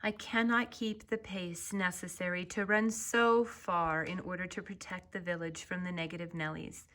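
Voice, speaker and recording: Woman playing an emotive, bright-voiced warrior princess. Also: fairly low pitch, steady tone, moderate pace, lightly acted. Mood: sad, despairing. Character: young warrior princess, heartfelt protector of her village. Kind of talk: children's storybook character voice.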